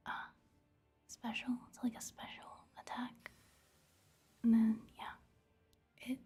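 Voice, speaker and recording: A woman giving casual, gentle ASMR commentary on a video game in a hushed, breathy whisper, unhurried and soft-spoken. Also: a little high.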